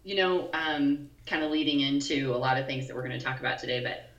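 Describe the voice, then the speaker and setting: A woman in her forties with a very low, scratchy voice chats as a podcast co-host. She talks haltingly, pausing and filling gaps with ums. She sounds set back from the mic in a roomy space, with a faint hiss behind her. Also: fairly bright tone, far from the mic, dull audio. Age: 40s. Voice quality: scratchy, deep voice. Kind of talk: casual chat. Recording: echoey room, voice a bit off-mic, faint noise.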